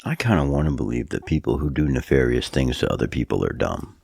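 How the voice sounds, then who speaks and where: A sniffly man co-hosting a podcast, cracking dry, deadpan jokes. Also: a bass voice, quick pace, vocal fry, heavy background noise. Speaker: podcast co-host. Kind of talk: casual podcast banter.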